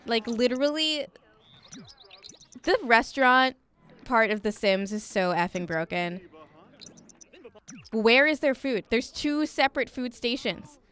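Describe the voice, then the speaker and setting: A woman's voice: fairly high pitch, lively intonation, measured pace, long pauses, fairly bright tone, smooth. A young woman co-hosting a game stream, giving wry, exasperated commentary in casual chat. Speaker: co-host playing the game. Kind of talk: casual chat while playing. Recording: crowd noise behind.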